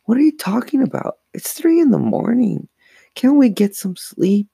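A man's voice: very high voice, big pitch swings, moderate pace, gravelly, mellow, breathy edge, dry recording, voice pushed up, exaggerated voice. A man voicing a mischievous young girl, a teasing and dismissive twin sister in a storybook reading. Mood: sleepy, dismissive.

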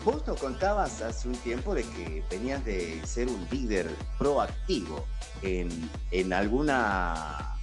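Male host, Rioplatense Spanish accent, animated rise and fall, conversational interview delivery, clearly audible music underneath. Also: lowish voice, slow pace.

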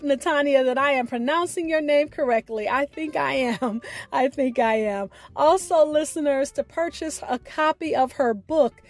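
A woman hosting a podcast, reading a scripted sign-off in a deliberate, carefully enunciated way. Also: sits high. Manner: deliberate, spells out names and details. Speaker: podcast host.

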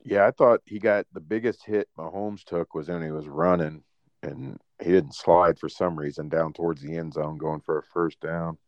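A man's voice: low-pitched, fairly even intonation, normal speed, dry recording, lo-fi sound. A man co-hosting a casual sports podcast, easygoing and asking follow-up questions. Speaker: podcast co-host.

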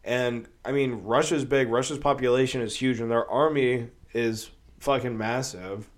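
A man's voice: medium pitch, fairly even intonation, moderate pace. A man, sick with a cold and sniffling, talking in a rambling, profane way with many fillers on his own podcast. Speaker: podcast host. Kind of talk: casual solo podcast commentary.

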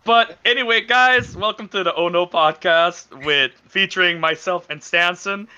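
A man's voice: high voice, moderate pace, sharp tone, clear, resonant tone, lo-fi sound. A man from Aruba co-hosting a casual podcast, laid-back and joking with his friends, swearing freely. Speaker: podcast co-host.

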